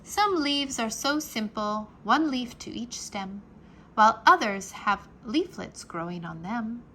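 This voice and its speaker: A woman reading a children's book aloud like a teacher, expressive and carefully enunciated for young listeners. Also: a little high, slow, slight background noise. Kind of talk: children's read-aloud narration, rhyming nonfiction.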